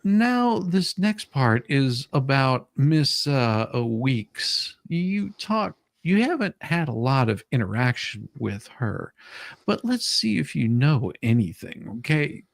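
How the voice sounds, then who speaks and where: Animated man hosting a dramatic reading. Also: medium pitch, slow pace, vocal fry, no echo. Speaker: host. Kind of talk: casual chat and dramatic reading of deposition questions.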